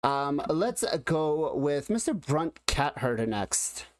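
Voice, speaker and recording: A man hosting a casual creator panel, moderating in a relaxed way with lots of fillers. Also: fairly high pitch, takes it slowly, dry recording. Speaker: panel host.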